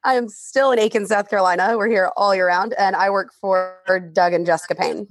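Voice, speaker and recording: A woman's voice: medium pitch, steady tone, fairly quick. A woman from the American Midwest, friendly and self-deprecating. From Saint Louis.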